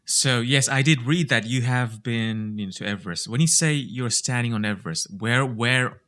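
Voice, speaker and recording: A man's voice: medium pitch, normal speed, fairly bright tone. A man from Nepal hosting a podcast interview, friendly and a little hesitant, with plenty of fillers. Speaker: podcast host. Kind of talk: casual interview.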